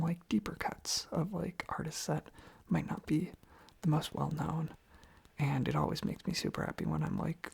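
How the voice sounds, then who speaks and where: Someone rambling softly in a breathy, husky ASMR whisper close to the microphone, casually repeating little phrases. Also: mid-range voice. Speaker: ASMR video creator.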